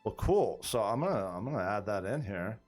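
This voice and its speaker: A man's voice: fairly low pitch, expressive, a little fast, a little mellow, faint music behind. Man thinking aloud as game master. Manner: rambling, thinks aloud with frequent restarts. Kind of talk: running a tabletop campaign stream, chatting with viewers.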